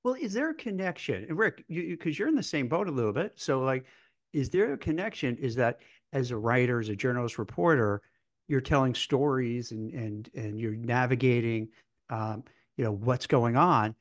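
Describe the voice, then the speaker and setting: A man's voice: medium pitch, lively intonation, moderate pace. Man asking rambling casual questions. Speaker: podcast host.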